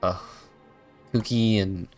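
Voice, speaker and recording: A game streamer giving casual livestream commentary in a creaky voice, with hesitant, meandering asides. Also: low voice, steady tone, slow pace, darkish tone. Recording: game music behind the voice.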